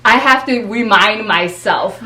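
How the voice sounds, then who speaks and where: A woman with a Japanese accent, a native Japanese speaker, explains voice technique at a mid pitch in a patient teaching tone. Her speech circles back and restarts as she builds each point. The recording has a roomy echo. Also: unhurried, background noise. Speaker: voice and speech coach guest. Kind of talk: conversational interview. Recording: echoey room.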